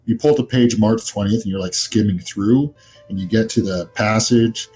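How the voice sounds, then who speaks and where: A man's voice: lowish voice, normal speed, dark tone, rough recording. A man narrating as game master of a tabletop horror roleplaying game, describing scenes in a conversational, improvised way. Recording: music behind the voice. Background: music.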